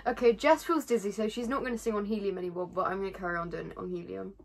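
A woman's voice: medium pitch, fairly even intonation, racing, creaky. A young adult woman vlogging in casual chat, recorded by a camera a short distance away in a small bedroom. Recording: noisy homemade bedroom camera audio.

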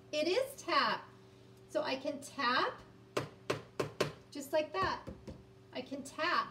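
A woman in her fifties teaching young children, sounding out words and reading aloud in a deliberate, encouraging way. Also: high voice, pushed and bright. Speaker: early-grades teacher. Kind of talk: children's phonics lesson and read-aloud. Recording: roomy, distant mic, background noise.

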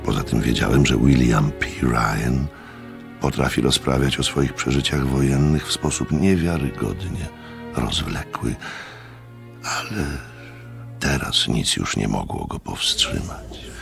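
A measured storyteller in a radio play. His voice sits extremely low, with a gravelly creak. He recounts his tale with literary care and mild scepticism. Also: over music, full character voice. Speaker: narrator.

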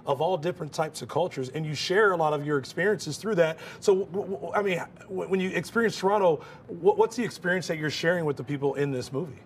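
A man's voice: a little high, quick pace, hardly any pauses, a little hiss or hum. A man in his forties hosting a morning news interview in a broadcast style, recorded in a TV studio.

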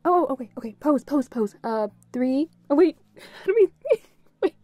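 A woman playing a bubbly, playful, affectionate young girlfriend in an intimate roleplay audio, giggly and given to quick repeated phrases. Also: high voice, dry recording, lo-fi sound. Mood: giddy, affectionate. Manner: giggly, breaks into short excited repeats.

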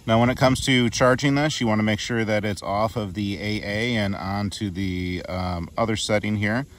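A man's voice: on the low side, fairly even intonation, moderate pace, slight background noise. A man giving a casual, playful hands-on product demo in a chatty, informal way. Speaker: product reviewer.

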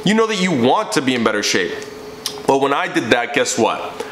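A young man coaching viewers as he tells his own story, his voice sitting at a middle pitch. He speaks with emphasis and gestures as he talks. The room around him echoes, with a faint hum of ambience. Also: sing-song, moderate pace, fairly bright tone. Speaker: fitness coach. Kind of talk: personal story to camera. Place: living room indoors. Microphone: camera in front, seated framing.

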